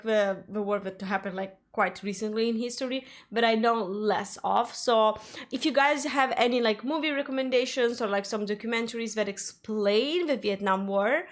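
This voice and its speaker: Chatty woman hosting a reaction video. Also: fairly high pitch. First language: Ukrainian. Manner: chatty, rambling, many fillers and restarts. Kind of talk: casual reaction commentary.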